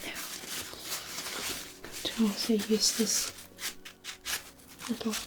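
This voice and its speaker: A woman playing a gentle, kindly forest fairy in a soothing fantasy ASMR roleplay, murmuring softly and reassuringly. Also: mid-range voice, level tone, very slow, background noise. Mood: soothing, fond.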